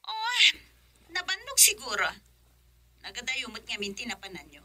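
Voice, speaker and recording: A woman's voice: fairly high pitch, big pitch swings, normal speed, bright, forceful tone, full character voice. A woman with an Ilocano accent acting a boss's wife, a madam talking to her men on the phone in a radio drama. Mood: concerned.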